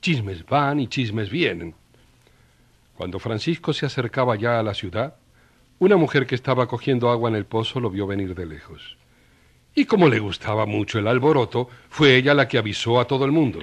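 Animated male storyteller, mid-pitched. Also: normal speed, breathy edge. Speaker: narrator. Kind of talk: colloquial scripted storytelling narration.

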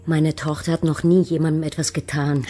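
A stern mother from a radio play, with a very low voice for a woman. It is husky and indignant. She sounds defensive, guarding her grown child. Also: little pitch movement, a little fast, warm tone. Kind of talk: German radio drama acting.

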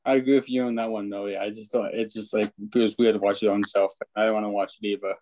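Fast-talking young man, casual. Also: lowish voice, little pitch movement, warm tone. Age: young adult. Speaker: amateur actor, panel guest. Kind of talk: casual chat. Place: indoors on a video call. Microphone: video call.

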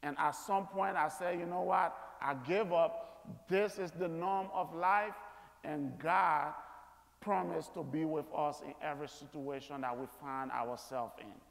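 A man in his thirties preaching a sermon from an auditorium stage in an earnest, deliberate manner. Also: on the high side, fairly even intonation, gravelly. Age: 30s. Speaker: guest chapel speaker. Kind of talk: sermon, preaching to a school chapel. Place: large auditorium stage, indoors. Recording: echoey auditorium with long reverb.

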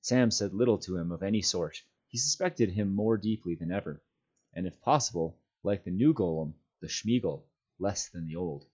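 A man reading a novel aloud as a volunteer storyteller, with expressive, dramatic narration. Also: deep voice, moderate pace, slightly rough, muffled recording. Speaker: volunteer community reader.

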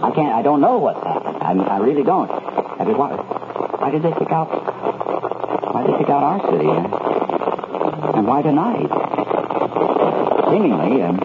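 An American man playing a shaken radio newsman in a 1970s radio drama, reporting a catastrophe haltingly and groping for words. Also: mid-range voice, animated, close to an everyday voice. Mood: bewildered, anxious. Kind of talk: 1970s radio drama as live emergency newscast. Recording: noisy, tinny vintage 1970s radio recording.

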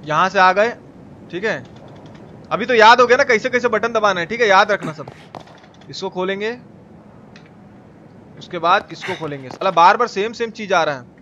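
High-pitched Bihari-accented man streaming. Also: moderate pace, pauses often, pushed and bright, highs cut off, slight background noise. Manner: slangy, cursing, exclamatory, repeats himself. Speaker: live streamer. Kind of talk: casual gameplay commentary with chat replies. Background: game music.

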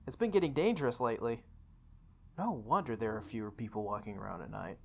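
A woman's voice: rumbling low, fairly bright tone, a little hiss or hum. A woman reading visual novel narration aloud, with casual, chatty asides.

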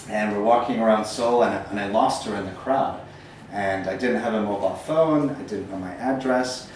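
Man with an American accent in an echoey room. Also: fairly low pitch. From Northern Ireland. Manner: rambling, frequent ums, self-deprecating humor. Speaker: poet giving a talk. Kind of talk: casual storytelling lecture. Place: small gallery room, indoors. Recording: echoey room from far off, faint noise.

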